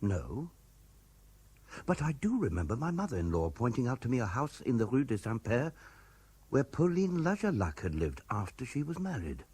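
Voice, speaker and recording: A self-important businessman in a 1970s radio drama. He sounds pompous yet anxious, with a slightly rough mid-range voice and very animated inflection. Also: mid-range voice, normal speed. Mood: brisk. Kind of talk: radio play acting.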